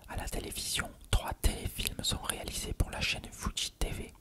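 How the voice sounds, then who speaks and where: An ASMR narrator reads an encyclopedia-style article entirely in an unvoiced whisper. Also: moderate pace.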